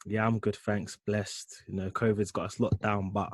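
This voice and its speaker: A man hosting a casual sports podcast interview, relaxed and full of short agreeing replies. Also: on the low side, moderate pace, no room sound. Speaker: podcast host.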